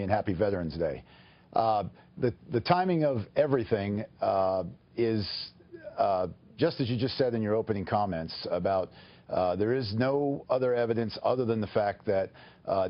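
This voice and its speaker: Lowish-voiced male attorney, halting and measured. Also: highs cut off. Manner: halting, measured, formal explanations. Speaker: attorney, interview guest. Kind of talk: live TV news interview.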